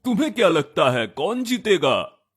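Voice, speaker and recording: A man's voice: high-pitched, very animated, moderate pace. A man voicing an easygoing, blunt male bear in a children's cartoon, offhand and sure of himself. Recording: music bed behind voice.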